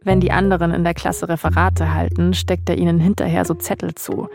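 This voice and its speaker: Female podcast host, scripted narrative delivery, lowish pitch with vocal fry. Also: flat delivery, a little fast, a little mellow, soft background music.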